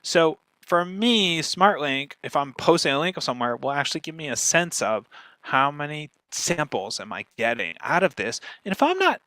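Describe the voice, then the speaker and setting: A man's voice: a little high, big pitch swings, moderate pace, bright, forceful tone, no echo. A man speaking as a guest expert, explaining things casually and emphatically, often checking in with the listener. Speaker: guest speaker, podcast marketer.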